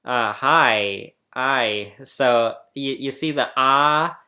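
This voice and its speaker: A man's voice: mid-range voice, drawn-out words, bright, forceful tone. A man hosting a casual tutorial, chatty and laughing often as he explains, recorded on a laptop mic indoors. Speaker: host explaining phonetics. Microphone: laptop webcam mic.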